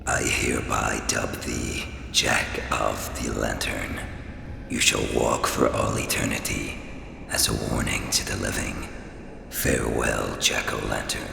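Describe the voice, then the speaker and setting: Radio play villain: a formal, mocking Devil, abyssally deep and thick with gravel, drenched in long reverb. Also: male voice, level tone, heavily acted. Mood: solemn. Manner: formal, ominous, deliberate. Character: the Devil, sinister, mocking, formal, ancient male demon. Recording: long cavernous reverb, background noise.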